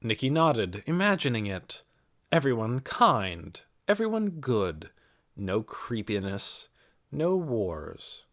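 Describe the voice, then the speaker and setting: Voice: medium pitch, big pitch swings, slow. A narrator reading a novel aloud as scripted audiobook narration.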